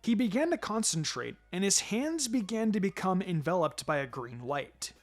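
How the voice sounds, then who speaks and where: A man's voice: high voice, very animated, moderate pace. A man reading fan fiction aloud as a narrator, in a dramatic scripted storytelling style. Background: music.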